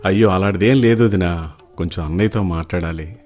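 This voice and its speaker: An actor playing a poor, humble, weary village cobbler in an animated moral story, earnest and pleading. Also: male voice, low voice, fairly quick, soft background music.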